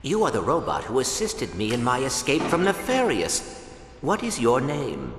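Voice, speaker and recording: An innocent, well-mannered little robot from a video game. The speech is formal and earnest, mid-pitched but very lilting, echoing in a large hall with music. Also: male voice, huge pitch swings, moderate pace. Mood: curious, earnest. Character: polite, curious, innocent small robot. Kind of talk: video game cutscene acting. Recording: reverberant hall, loud music behind.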